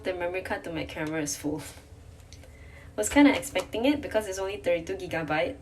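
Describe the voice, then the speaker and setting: A woman's voice: lowish voice, fast, music in the background. A young woman with a Malaysian accent, chatting casually to camera in a vlog and often correcting and teasing herself. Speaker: vlogger. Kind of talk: casual sit-down vlog chat. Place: hotel room.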